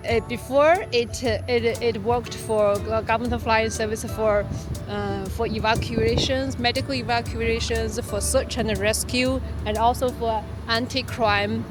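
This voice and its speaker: A woman's voice: a little high, fairly even intonation, music in the background. A woman from Shanxi speaking English with a Mandarin accent, explaining a site with frequent restarts and fillers. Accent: Mandarin Chinese. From Shanxi, China. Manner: halting, self-correcting tour explanation. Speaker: hostel staff guide.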